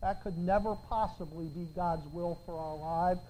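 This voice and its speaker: A woman preaching a sermon, quoting scripture with emphatic, dramatic pauses and swings from hushed to loud. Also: fairly low pitch, fairly even intonation, a little hiss or hum, poor audio. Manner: repeats phrases for emphasis.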